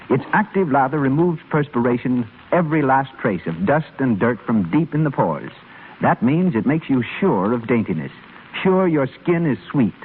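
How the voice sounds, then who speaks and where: A man announcing a commercial in a scripted 1930s radio sales style. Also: medium pitch. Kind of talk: 1930s radio advertising pitch. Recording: muffled scratchy 1930s radio broadcast.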